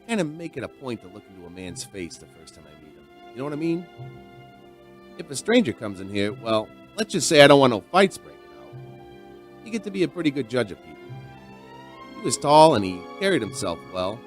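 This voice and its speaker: Chatty neighborhood barkeep for radio drama, played by a man: folksy, rambling, mid-pitched, very varied intonation, music underneath. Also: big pitch swings, brisk, close to an everyday voice. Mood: reflective, curious. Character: chatty, folksy male bartender and bar owner, storyteller.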